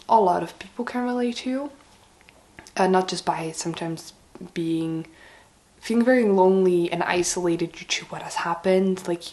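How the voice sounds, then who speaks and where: Raspy, slightly hoarse woman chatting. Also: fairly low pitch, darkish tone. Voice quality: raspy, breaking into breathiness. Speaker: book vlogger. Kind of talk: casual chatty book review to camera.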